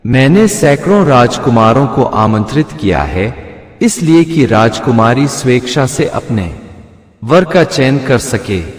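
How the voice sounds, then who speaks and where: Echoey hall, man narrator as aging pious king, formal, decisive, unhurried, storybook tale. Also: mid-range voice.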